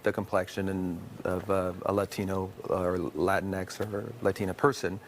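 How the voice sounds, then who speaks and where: A man's voice: low-pitched, level tone, moderate pace, slight background noise. A man talking conversationally as an illustrator being interviewed.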